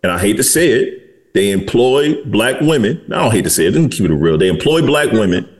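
A man's voice: fairly low pitch, lively intonation, a little fast. A man in his forties hosting a podcast, talking bluntly and candidly in casual conversation and swearing freely. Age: 40s. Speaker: podcast host.

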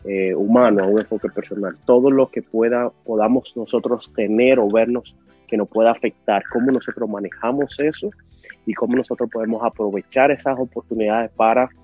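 A man speaking as a guest expert on a panel, reflecting in a measured way. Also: lowish voice, fairly even intonation, slightly rough, no room sound, soft background music. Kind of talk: casual panel discussion. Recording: tinny phone-like line.

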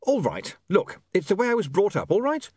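A man as a flustered young newspaperman, pitched up. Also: wildly swooping pitch, brisk, darkish tone, breathy edge, no room sound, heavily acted. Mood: flustered, defensive. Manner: flustered, stammering, self-justifying. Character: awkward, defensive, well-meaning young newspaperman. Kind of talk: audiobook character voice.

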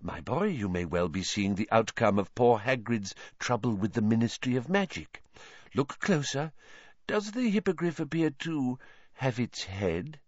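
A man voicing a dreamy, fussy female divination teacher who talks softly and dramatically. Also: mid-range voice, very animated, a little slow, no room sound, dull audio. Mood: probing, breathy. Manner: soft, whispery, dramatic.

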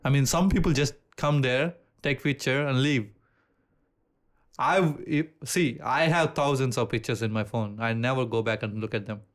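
Smooth-voiced man with an Indian accent. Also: medium pitch, normal speed. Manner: relaxed, laughs easily, swears casually, restarts sentences. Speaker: podcast co-host. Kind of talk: casual chat.

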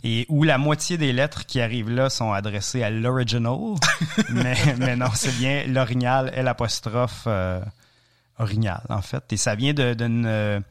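A man's voice: medium pitch, unhurried. Franco-Ontarian male guest, witty storyteller. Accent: Franco-Ontarian French. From Eastern Ontario, Canada. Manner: witty, anecdotal, with small restarts. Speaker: guest, traveler and speaker. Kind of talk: casual storytelling.

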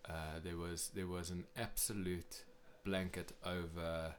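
A middle-aged man with a South African accent talking reflectively as a podcast guest, often pausing and repeating phrases. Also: sits low, level tone, slight background noise. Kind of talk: conversational interview talk.

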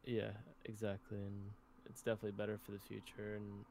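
Young man, relaxed casual co-host. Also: on the low side, brisk, vocal fry, a little hiss or hum. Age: 20s. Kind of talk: casual chat.

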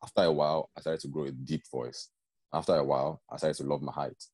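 A young man with a very deep voice, telling personal stories in an earnest, conversational way and often asking "do you know what I mean". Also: little pitch movement, fast talker, a little mellow, husky, dry recording. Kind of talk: casual group chat.

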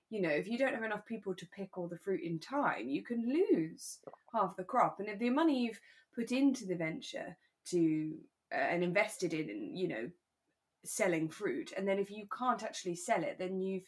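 A British woman co-hosting a podcast, chatting casually and conversationally about a radio drama, with a giggly streak. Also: mid-range voice. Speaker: podcast co-host.

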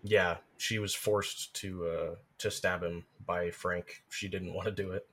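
A man with a somewhat low voice talks as a guest in an informal discussion. He is measured and thoughtful, often qualifying what he says. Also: flat delivery, a bit bright, slightly rough. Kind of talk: casual discussion.

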